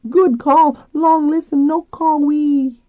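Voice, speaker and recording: A man voicing an eager, childlike female dolphin in an audiobook, with a squeaky falsetto and short bursts of broken, clipped speech. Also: flat delivery, slow pace, dry recording, muffled recording. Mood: eager, questioning. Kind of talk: audiobook character voice.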